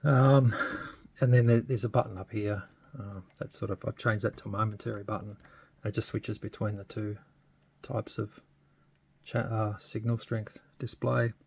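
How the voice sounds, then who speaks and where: A man giving a casual, unscripted hands-on demo as a hobbyist, thinking aloud in a hesitant, understated way with a husky, gravelly voice. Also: fairly low pitch, steady tone, moderate pace, darkish tone. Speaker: radio hobbyist presenting a modification demo.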